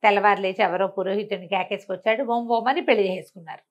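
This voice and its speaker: A woman with a Telugu accent telling a folk story in a colloquial, animated way, acting out the dialogue. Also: medium pitch, fast, dull audio.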